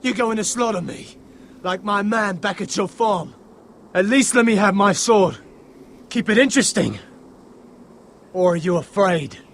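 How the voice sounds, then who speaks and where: An actor playing a sadistic, vengeful soldier villain in a video game, sneering and taunting. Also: male voice, high voice, unhurried, pauses often, a bit bright, slight background noise. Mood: goading. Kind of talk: dramatic game dialogue.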